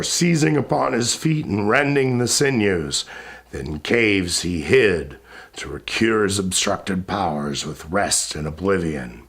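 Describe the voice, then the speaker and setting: A man giving a solemn, declamatory recitation of epic poetry, with sudden swells and hushed drops in his gravelly delivery. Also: fairly low pitch.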